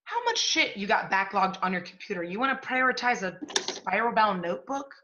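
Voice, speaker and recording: An actress playing a brash, hard-drinking, sardonic literary agent in a stage play. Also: female voice, medium pitch, lively intonation, a little fast, bright, forceful tone, dull audio, slight background noise. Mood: sardonic, exasperated. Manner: sardonic, blunt, profane.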